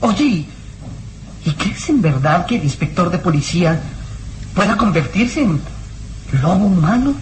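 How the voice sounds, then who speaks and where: A performer acts out an inquisitive young boy companion in a vintage radio serial. The voice rises and falls animatedly with earnest worry. The recording is clearly noisy. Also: mid-range voice, expressive, unhurried, pauses often, close to an everyday voice. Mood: anxious, curious. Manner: earnest, questioning. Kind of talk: old radio serial acting.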